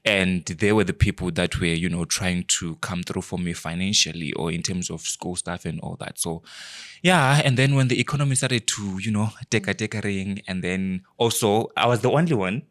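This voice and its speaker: A young man from Zimbabwe, a podcast guest, talking in a quiet, shy, soft-spoken way. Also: deep voice, normal speed. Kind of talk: casual interview conversation.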